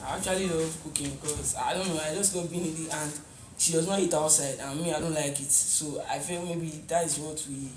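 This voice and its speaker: A young man with a Nigerian accent, speaking quietly and hesitantly about his late mother. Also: fairly high pitch, fairly even intonation, brisk, a little hiss or hum. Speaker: interviewee. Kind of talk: reflective interview answers. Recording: roomy echo with music behind.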